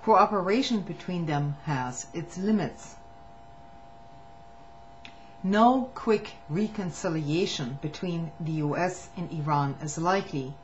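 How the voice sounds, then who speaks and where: Woman reading aloud over muffled hum. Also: fairly low pitch. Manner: measured reading with occasional stumbles and restarts. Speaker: reader of a public document. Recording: muffled lo-fi recording with faint hum.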